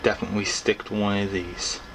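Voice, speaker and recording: A man's voice: fairly low pitch, flat delivery, brisk, fairly bright tone. A young man talking casually to camera about his hobby in a rambling, informal, folksy way. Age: young adult. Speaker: hobbyist vlogger. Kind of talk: casual explanatory talk to camera. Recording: clearly noisy background ambience.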